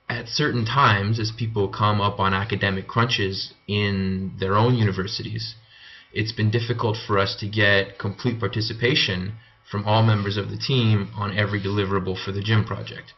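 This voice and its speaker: Young man, Canadian accent, lower voice, informal presenting, dull lo-fi recording. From Canada (Montreal). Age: young adult. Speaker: MBA student presenting a team report.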